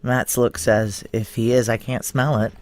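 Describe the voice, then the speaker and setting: An actress playing a tough, wary security chief in a noir audio drama, low-pitched, dry and clipped. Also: female voice, little pitch movement, measured pace, darkish tone, faint music behind. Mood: tense, dry.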